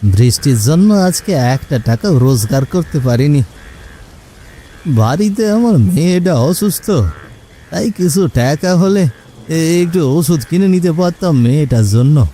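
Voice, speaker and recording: Man voicing a slow, plaintive old village boatman. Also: a little high, lively intonation, warm tone, a little hiss or hum, pitched above the performer's own voice. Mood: weary, pleading. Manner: plaintive, unhurried. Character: weary, worried old village boatman, soft-spoken. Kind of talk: Bengali ghost story cartoon.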